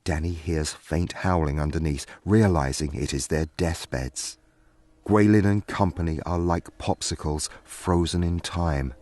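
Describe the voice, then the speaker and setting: A man's voice: low voice, measured pace, darkish tone, no echo. A man narrating a scripted fantasy story in a dramatic storytelling style.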